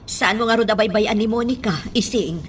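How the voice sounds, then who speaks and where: A woman's voice: on the high side, fairly quick, husky. A woman with an Ilocano accent playing an anxious, protective mother and lady of the house in a radio drama. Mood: alarmed, worried.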